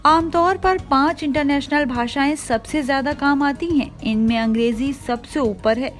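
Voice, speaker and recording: High-pitched woman narrating an explainer. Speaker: video host. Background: background music.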